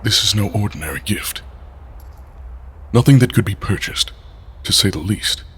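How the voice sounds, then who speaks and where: A man's voice: lowish voice, expressive, moderate pace, husky, heavily acted. An actor playing a brooding, reclusive male scientist, speaking in a dry, guarded, introspective way. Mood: solemn. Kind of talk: audio drama acting. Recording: loud music underneath.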